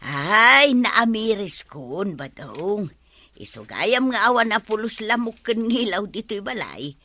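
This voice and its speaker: A woman's voice: medium pitch, big pitch swings, slow, sharp tone, dry recording, heavily acted. A woman with an Ilocano accent playing a mocking, doubtful wife who teases and scolds in a radio comedy drama.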